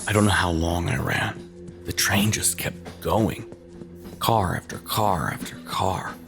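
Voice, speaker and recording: Male horror storyteller, extremely low pitch, gravelly fry, ominous and dramatic, short halting phrases. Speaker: narrator of an audio horror tale. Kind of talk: scripted first-person horror narration.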